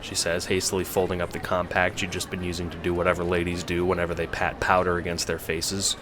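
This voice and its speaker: A man's voice: sits low, monotone, fast, noisy background. A man narrating a scripted audio drama in the second person.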